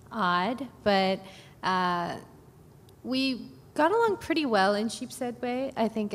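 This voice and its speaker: Hesitant woman in an echoey hall. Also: medium pitch. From Brooklyn, New York. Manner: hesitant, many fillers, self-deprecating. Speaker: oral history narrator on a panel. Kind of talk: oral history interview. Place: conference hall. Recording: echoey hall, faint background noise.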